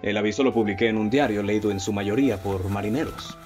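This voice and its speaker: A man with a Mexican accent playing a composed, analytical detective in a radio play, speaking formally and explaining with measured confidence. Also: fairly low pitch, darkish tone, lightly acted. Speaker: amateur radio drama actor. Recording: dull lo-fi audio with music bed.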